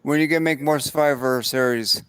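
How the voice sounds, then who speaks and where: A man's voice: mid-range voice, fairly even intonation, fast talker, fairly bright tone, smooth, no room sound. A man from New Jersey giving short, casual replies as a guest on a group voice chat. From New Jersey, United States. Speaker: guest caller.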